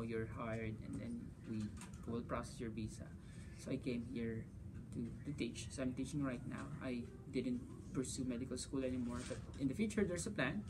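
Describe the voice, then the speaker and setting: A man's voice: mid-range voice, background noise. A man in his thirties from the Philippines, answering interview questions casually as a physics teacher, often saying "you know" and "like". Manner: gestures while talking, frequent "you know" and "like". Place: school conference room. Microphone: camera across the table.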